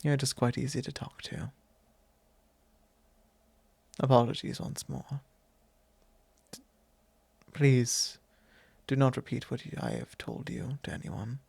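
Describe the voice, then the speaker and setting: Man acting as a tender vampire lord, ASMR roleplay, very slow formal speech, solemn, confiding, close dry mic. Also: mid-range voice, very animated, dry recording, full character voice. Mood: apologetic, confiding. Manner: measured, formal, hesitant phrasing with soft breathy drops. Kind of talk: ASMR roleplay, soft intimate monologue. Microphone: close microphone.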